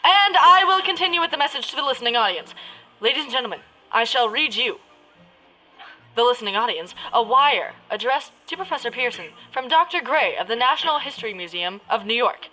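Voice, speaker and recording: Woman playing a lively, high-voiced radio interviewer. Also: a little fast, soft background music, rough recording. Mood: official, brisk. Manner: mock-formal, breaking into crude asides. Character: prim, exasperated, foul-mouthed radio news interviewer. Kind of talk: parody of 1930s radio announcing, partly improvised.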